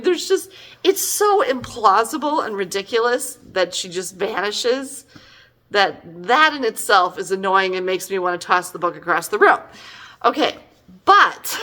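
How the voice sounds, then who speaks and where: A woman's voice: mid-range voice, fairly bright tone. A middle-aged woman giving a casual, chatty book review in an exasperated, emphatic, conversational way.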